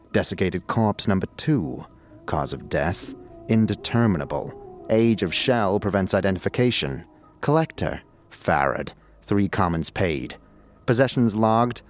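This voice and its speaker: A man voicing a methodical mortuary record-keeper who reads out entries in a clipped, clinical way for an audio drama. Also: low voice. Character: dry, methodical mortuary clerk reading ledger entries. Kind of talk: audio drama document reading. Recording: music under the voice.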